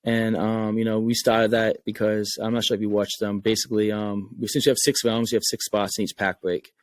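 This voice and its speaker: A man's voice: lowish voice, level tone, fairly quick. A man with a Rhode Island accent, a game creator talking casually and earnestly on a podcast, often repeating words as he thinks. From Rhode Island, USA.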